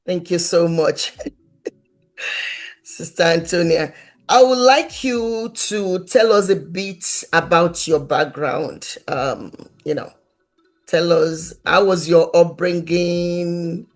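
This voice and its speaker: A woman hosting a conversational interview in a gentle, encouraging way. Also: fairly low pitch, slow pace, soft background music. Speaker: interview host.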